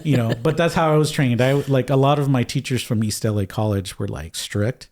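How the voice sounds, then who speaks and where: Low-voiced man in casual chat. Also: a little fast, a little mellow. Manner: rambling, swears casually, many restarts. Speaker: guest artist. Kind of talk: casual conversation.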